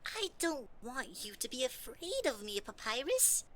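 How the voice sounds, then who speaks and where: A woman's voice: high-pitched, sing-song, unhurried, a crowd in the background. A woman voicing a small male talking flower in an audio drama, sing-song and falsely sweet, with menace under the friendliness. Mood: coaxing, controlling. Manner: sing-song mock friendliness, taunting, swinging into threats. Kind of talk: scripted audio drama acting.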